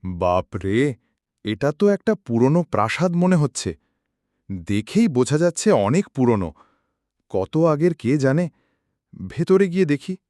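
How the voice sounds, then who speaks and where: Curious young cartoon hero, voiced by a young man, lively intonation, dry booth. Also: on the high side, measured pace, long pauses, no room sound.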